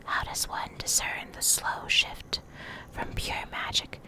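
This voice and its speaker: A woman doing an ASMR reading in a soft, breathy whisper, deliberate and pausing between short phrases. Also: poor audio. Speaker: ASMR creator reading game lore.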